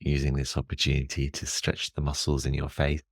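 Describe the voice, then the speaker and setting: Man, extremely low pitch, unhurried meditation guide, soothing, measured, long pauses, dry recording. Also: monotone, no room sound.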